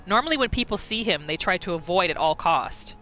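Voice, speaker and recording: A woman narrates a fantasy game roleplay in an expressive, storytelling way. Her voice is bright and very animated in pitch, over faint game music. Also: fairly quick. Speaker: gamer narrating a roleplay playthrough. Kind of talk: third-person roleplay game commentary.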